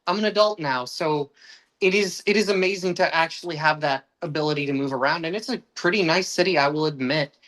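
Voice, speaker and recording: A man's voice: on the high side, a little fast, fairly bright tone, poor audio. Earnest young man, podcast guest. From United States. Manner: earnest, with frequent restarts and uneven pacing. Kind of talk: casual podcast conversation.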